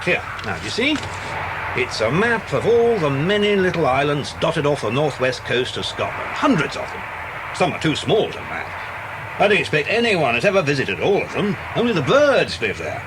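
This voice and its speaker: An actor playing a genial, reassuring British detective and family friend in a children's audio drama. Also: male voice, big pitch swings, normal speed. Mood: hearty, reassuring. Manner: hearty, avuncular. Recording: noisy cassette with vehicle rumble.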